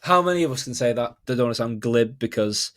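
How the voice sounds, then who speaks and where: A man's voice: medium pitch, quick pace. A man with a Manchester accent hosting a football podcast, chatting casually and laughing through his stories. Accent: Mancunian. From Manchester, England. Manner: animated, laughs while telling stories. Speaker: podcast host. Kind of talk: casual chat.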